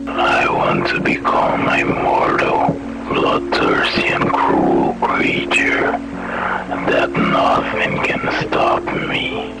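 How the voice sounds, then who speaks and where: Creepy whispering evil villain for analog horror, super slow, cruel, loud music all over it. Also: male voice, rough recording. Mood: menacing, craving. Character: vengeful boy craving monstrous immortality, cruel and bloodthirsty. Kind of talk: analog horror story acting.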